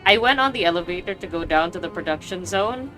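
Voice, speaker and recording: A woman's voice: on the low side, expressive, fast talker, fairly bright tone. A woman streaming a game, chatting casually with slangy reactions and reading dialogue aloud. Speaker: gaming streamer. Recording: game music behind the voice.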